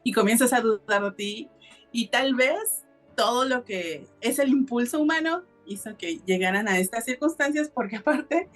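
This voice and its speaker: A woman's voice: on the high side, moderate pace, soft background music. A woman with a Mexican accent hosting a podcast, telling a story in a casual, colloquial and explanatory way. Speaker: podcast host.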